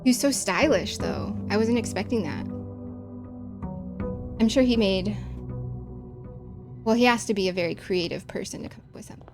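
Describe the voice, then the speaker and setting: A woman's voice: medium pitch, normal speed, pauses often, music in the background. A woman from the American Midwest with a Chicago accent, chatting casually while streaming a game, with long vowels and careful over-enunciation.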